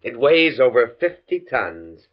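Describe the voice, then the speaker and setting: A man's voice: medium pitch, very animated, a little slow. A man playing an earnest, absent-minded professor in his thirties, in 1950s radio drama style. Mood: proud, enthusiastic. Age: 30s. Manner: hesitant, stammering, preoccupied. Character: earnest absent-minded young male professor, flustered and enthusiastic. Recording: dull, muffled old radio recording.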